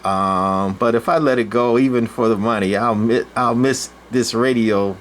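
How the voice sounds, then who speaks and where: A man giving a casual, rambling, off-the-cuff demonstration as a hobbyist collector, in a halting informal way. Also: mid-range voice, fairly even intonation. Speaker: channel host, vintage CB radio collector. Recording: clear background noise, room ambience.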